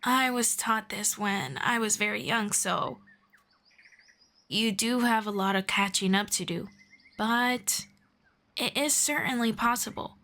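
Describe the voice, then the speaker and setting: A woman voicing a gentle, patient female elf mentor in an ASMR roleplay, speaking softly and slowly and slipping into whispers. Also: mid-range voice. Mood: gentle, soothing. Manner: soft-spoken, slow and patient, drifting into whispers. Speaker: voice actor.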